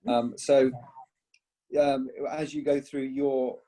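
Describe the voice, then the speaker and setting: A man's voice: medium pitch, little pitch movement, slow pace, dark tone, rough recording. A man answering a question in an online meeting, hesitant, with many fillers, heard over a video call. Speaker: eco-church scheme speaker answering a question.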